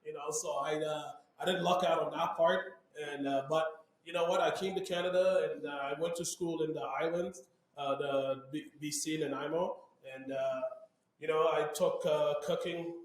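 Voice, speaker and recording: A man from Haiti, a guest chef, talking in relaxed casual chat. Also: on the high side, normal speed. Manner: relaxed, laid-back, frequent "you know". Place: bar under construction. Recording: very echoey room, away from mic.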